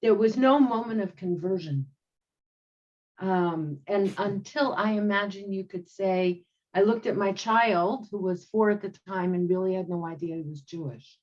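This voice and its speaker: A woman in her sixties, a rabbi, telling her own story reflectively on a video call panel, with many pauses and ums. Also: medium pitch, a little mellow, highs cut off. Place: home room.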